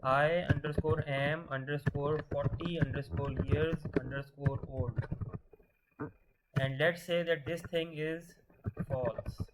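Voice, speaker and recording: A man's voice: a little high, steady tone, takes it slowly, heavy background noise. A man teaching a programming lesson, patiently asking questions and answering them himself, often restarting words. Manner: restarts words mid-sentence. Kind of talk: screen-share coding lesson.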